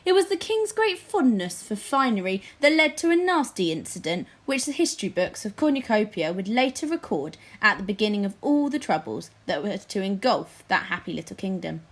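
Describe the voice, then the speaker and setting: A woman's voice: mid-range voice, very animated, fairly quick, few pauses. A woman narrating a children's storybook expressively, as a teacher reads aloud.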